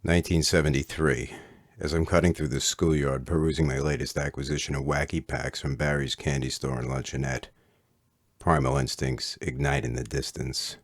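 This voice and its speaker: A middle-aged man reading an autobiographical story as its author, in a measured, literate storytelling delivery. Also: a bass voice, little pitch movement, no echo, studio quality. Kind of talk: scripted autobiographical narration.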